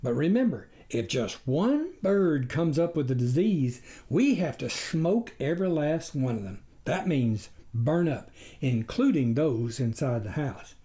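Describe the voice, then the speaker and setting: Narrator as sly coyote, storybook satire, baying and yapping, over-polite, wheedling, indignant, very animated pitch. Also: measured pace. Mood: persuasive. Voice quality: baying, yapping, at times near howling. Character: smooth-talking wily coyote posing as government poultry inspector.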